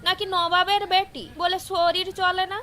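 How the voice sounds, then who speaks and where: A woman's voice: very high voice, flat delivery, normal speed, sharp tone, slight background noise. A woman acting a scornful, superstitious village mother-in-law who taunts and scolds, in a horror audio drama. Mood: scolding, scornful.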